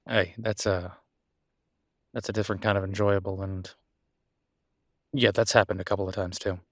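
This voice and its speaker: Man playing easygoing boyfriend, lowish voice, dry close sound, casual, gently reassuring, intimate roleplay audio. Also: steady tone, normal speed, pauses often, no echo. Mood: teasing, flustered. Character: caring, easygoing human boyfriend of a werewolf, reassuring. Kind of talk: roleplay audio drama, one-sided intimate conversation.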